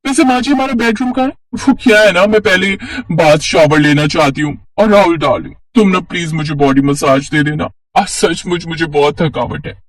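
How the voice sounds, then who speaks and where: A man acting a high, entitled young city bride. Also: moderate pace, dry recording, lightly acted. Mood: entitled, impatient. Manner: breezy, entitled, English-sprinkled talk. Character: brazen, modern, pampered young city bride.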